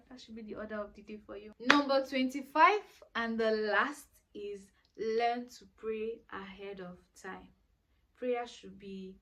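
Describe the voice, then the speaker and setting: A woman's voice: medium pitch, fairly bright tone. A woman in her twenties talking to camera in a casual vlog, giving earnest, preachy life advice and repeating herself for emphasis. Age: 20s. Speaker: vlogger.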